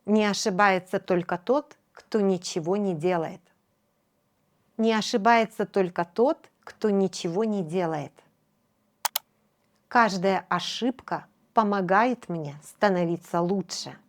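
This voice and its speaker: A young adult woman leads a language lesson at a deliberate, unhurried pace. Her pitch sits mid-range. She speaks with patient encouragement, pronouncing each practice phrase carefully and repeating it for listeners to copy. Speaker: Russian language teacher. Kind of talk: slow, clear shadowing lesson.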